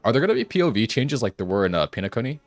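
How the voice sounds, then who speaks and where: A man's voice: mid-range voice, big pitch swings, rapid-fire, dry recording. A man giving casual live commentary as he streams a video game. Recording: game music faintly behind.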